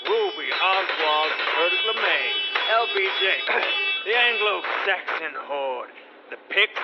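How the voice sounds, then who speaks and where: An actor playing a defiant, petulant young prince in a radio drama, talking in a sneering, taunting way. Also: male voice, fairly high pitch, muffled recording. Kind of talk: rhyming verse radio drama.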